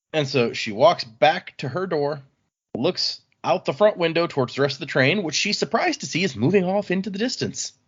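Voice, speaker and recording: A man's voice: medium pitch, fairly bright tone, breathy edge. A man hosting a podcast, casually recapping book chapters in a chatty, loose way. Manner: chatty, loose summarizing with fillers. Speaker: podcast host. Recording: slightly muffled lo-fi audio.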